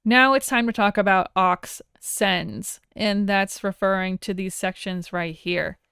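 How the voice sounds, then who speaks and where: Female tutorial instructor, informal and wry, crisp resonant tone, dry close recording. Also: medium pitch, measured pace, a bit bright, no echo. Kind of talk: casual software tutorial narration.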